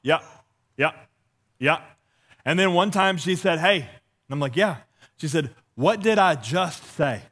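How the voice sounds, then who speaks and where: A man's voice: fairly high pitch, expressive, measured pace, long pauses, fairly bright tone. A middle-aged man with a lisp, preaching on a church stage and telling self-deprecating stories with acted-out dialogue. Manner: walks and gestures while preaching. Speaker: pastor. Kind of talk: humorous sermon.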